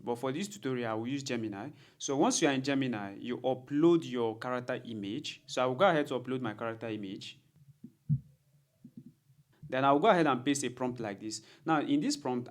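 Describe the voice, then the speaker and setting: A man presenting a tutorial, narrating instructions methodically step by step. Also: mid-range voice. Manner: methodical, repetitive step-by-step explaining. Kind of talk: instructional screen-recording narration.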